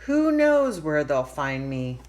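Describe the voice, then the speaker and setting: A woman's voice: deep voice, big pitch swings, slow pace, darkish tone, voice pushed down, full character voice. A woman voicing a jealous, defiant little mouse girl in a storybook read-aloud, in a dramatic, scornful manner.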